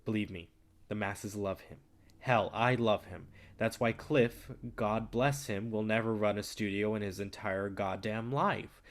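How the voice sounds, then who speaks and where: A man's voice: fairly low pitch, steady tone, unhurried. A man acting in a staged play reading as a brash, cocky film distributor, swaggering and persuasive with plenty of profanity. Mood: opinionated. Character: brash, cocky, foul-mouthed male film distributor, smooth manipulator.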